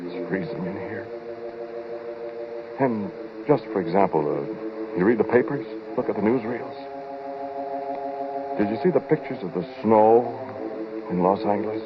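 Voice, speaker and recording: A low-voiced, haunted scientist confides his story in a brooding, halting way. It is a 1940s radio drama monologue, muffled with age, with music behind it. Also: animated. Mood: haunted, uneasy. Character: haunted, uneasy male scientist recounting his ordeal.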